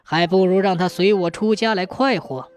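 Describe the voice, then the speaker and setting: A woman voicing a teasing wandering male monk in a story, with a chuckling, drawn-out, mocking delivery. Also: on the low side, a little mellow, lightly acted. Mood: amused, mocking.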